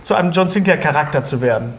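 A middle-aged man hosts an interview with an animated, widely swinging pitch. He is expressive and puts playful emphasis on his questions and introductions. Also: fast talker. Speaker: talk show host. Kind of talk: lively interview presenting. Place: small indoor studio set at a table.

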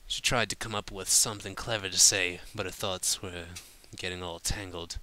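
A man's voice: deep voice, steady tone, moderate pace, sharp tone. A man reading a story aloud informally, sometimes stumbling over his words and breaking off to comment. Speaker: fan fiction reader.

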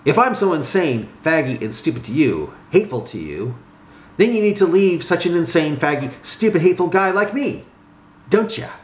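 Man acting a hurt, indignant man, pitch raised. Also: very animated, moderate pace, few pauses, a bit bright. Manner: indignant, challenging. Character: hurt, frustrated man losing his calm in a fight. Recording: roomy echo, some background noise.

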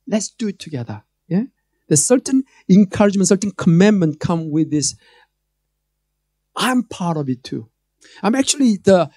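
Korean-accented male pastor in his 30s, mellow dry voice, chatty then emphatic sermon on a handheld mic. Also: a little high, no room sound. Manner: joking, conversational preaching, rising to emphatic calls. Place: church stage before a screen.

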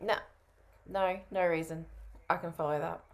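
A woman's voice: fairly low pitch, fairly even intonation, normal speed. A woman in her thirties on a video call from home, answering casually as the prospect in a sales roleplay. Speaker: coaching trainee playing a sales prospect.

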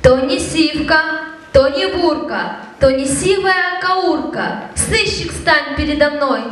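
A woman's voice: high-pitched, slow pace, fairly bright tone, slight background noise. A young woman presenting a children's holiday show on stage, speaking scripted lines into a handheld microphone. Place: indoor stage in a decorated hall. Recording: very echoey hall, music behind.

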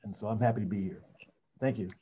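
Male councilmember, warm mellow tone, polite and halting, muffled audio. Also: fairly low pitch, breathy edge. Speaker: city councilmember. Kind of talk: brief remarks at an online public meeting.

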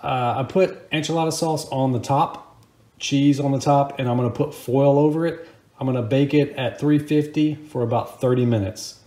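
A man's voice: mid-range voice, fairly even intonation, normal speed, mellow, a little hiss or hum. A middle-aged man with an American accent, casually talking viewers through a recipe as host of a home cooking video. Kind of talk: casual how-to cooking narration. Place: home kitchen, indoors. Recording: echoey kitchen room.

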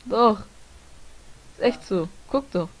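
A woman's voice: mid-range voice, animated, takes it slowly. A young woman chatting casually while playing a game with friends, teasing them, laughing often and swearing lightly. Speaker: Let's Play gamer. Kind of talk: casual gaming chat.

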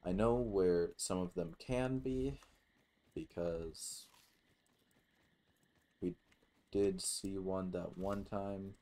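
Man, gaming host, very low voice, unhurried, halting delivery, casual, mild swearing. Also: warm tone. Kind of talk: casual gameplay commentary.

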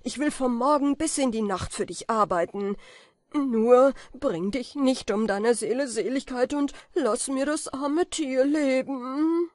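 A woman voicing a desperate young Scottish peasant man who pleads in anguish, slow and drawn-out, for a storybook reading. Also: extremely high pitch, no room sound, clean studio sound, pitched above the performer's own voice.

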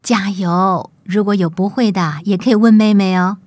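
A woman acting a gentle, weary, self-sacrificing mother with a soft, caring manner in a radio drama. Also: mid-range voice, steady tone, moderate pace, dry recording, clean studio sound, natural voice. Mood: encouraging.

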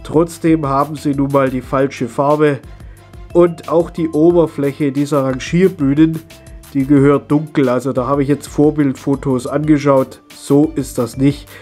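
A middle-aged man, stuffy and husky from a cold, chatting his way step by step through a hands-on hobby tutorial. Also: fairly high pitch, steady tone, unhurried, dark tone, faint music behind. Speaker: model railway hobby video host.

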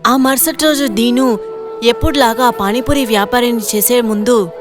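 A woman's voice: fairly high pitch, steady tone, normal speed. Woman narrating a story over music. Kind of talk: scripted children's moral story narration. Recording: loud music behind the voice.

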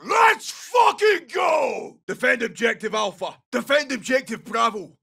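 An actor playing a gruff, brash Scottish special forces soldier in a military shooter game, giving clipped, urgent tactical callouts in a rough, gravelly voice. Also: male voice, sits high, big pitch swings, normal speed, pushed and bright, recorded from a distance, full character voice. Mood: urgent shouting.

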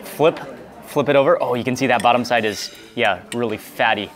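A man in his thirties excitedly narrating a seafood meal for a food vlog, savoring each bite with hums and exclamations, recorded on a small clip mic in a restaurant. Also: mid-range voice, a little fast. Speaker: food vlogger. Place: restaurant dining room and outdoor grill. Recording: busy restaurant crowd behind. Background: restaurant crowd chatter.

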